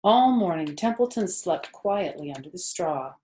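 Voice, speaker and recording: A woman's voice: on the low side, expressive, moderate pace, a little mellow. An older woman reading a children's book aloud as a storyteller.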